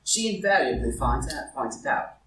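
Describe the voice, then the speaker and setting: A man playing a languid, witty gentleman and loyal friend, speaking with urbane formality in a live play reading. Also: mid-range voice, very animated, normal speed. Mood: urbane, wry. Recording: roomy echo, far from the mic.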